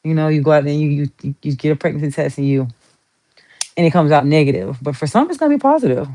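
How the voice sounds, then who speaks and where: A woman's voice: deep voice, expressive, fast talker, mellow, slight background noise. A woman with a Southern US accent giving a chatty, rambling tarot reading, playful and talkative as she pulls cards. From Southern United States. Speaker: tarot reader.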